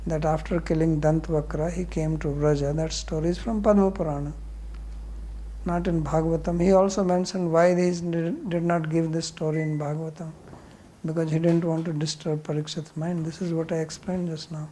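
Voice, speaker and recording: A man with a soft, mellow voice and an Indian accent teaches scripture. He explains bluntly and puts questions back to his students. There is faint noise behind him. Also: a little high, little pitch movement, brisk, rough recording. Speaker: spiritual teacher. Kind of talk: scripture class question and answer.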